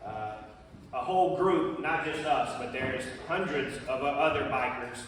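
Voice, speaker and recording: Man speaking to a school crowd, halting and friendly, echoey hall, far from the mic. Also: mid-range voice. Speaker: charity biker speaking to students. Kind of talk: casual address to a school.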